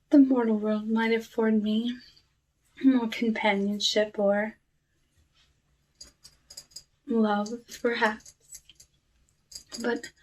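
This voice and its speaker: A woman playing a cursed outcast witch of the woods in an ASMR roleplay, speaking softly and often whispering, with a wistful, genteel manner. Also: on the high side. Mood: intrigued, musing. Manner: soft, unhurried, wistful, genteel, drawn-out phrasing. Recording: noisy, with clicks and dish clatter.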